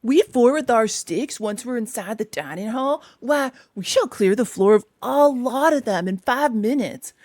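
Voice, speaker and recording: A testy, confident badger gentleman as a woman storyteller puts him on. He grumbles in plain colloquial words, dragging them out in a raised voice. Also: a little high, animated, no room sound, clean studio sound. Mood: self-assured. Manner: unhurried, emphatic, colloquial grumbling. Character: gruff, peevish, self-assured male badger elder. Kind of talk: storybook character voice.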